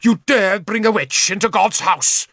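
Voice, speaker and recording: Shrill, melodramatic pious bishop. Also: male voice, a little slow, fairly bright tone, no room sound, clean studio sound. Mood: horrified outrage. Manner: melodramatic, indignant, pleading. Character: shrill, sly, hysterically pious male bishop.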